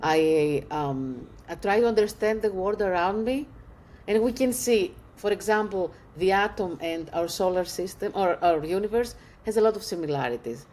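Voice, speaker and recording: A woman's voice: mid-range voice, expressive, normal speed, darkish tone. Female guest from Greece, English, thoughtful, word-searching. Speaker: filmmaker guest. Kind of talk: casual interview answers.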